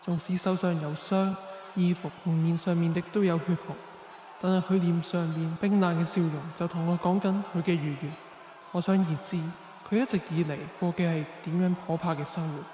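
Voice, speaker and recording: A solemn young hero out for revenge, acted by a man, narrating a radio drama. His voice is quite high for a man and washed in roomy, long reverb. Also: a little mellow, a little hiss or hum, lightly acted.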